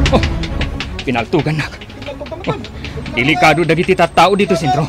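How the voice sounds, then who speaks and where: A man's voice: on the high side, lightly acted. A man acting a wary, defiant gunman. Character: wary male gunman, defiant rival of a general. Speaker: radio drama actor. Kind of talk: Ilocano radio drama acting.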